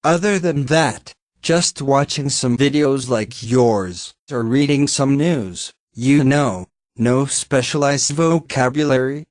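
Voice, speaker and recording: A man's voice: mid-range voice, slow, few pauses, dry recording. Sarcastic Finnish man, dry close voice. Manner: sarcastic, blunt, swears. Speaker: commentator reacting to a video. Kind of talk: mocking reaction commentary.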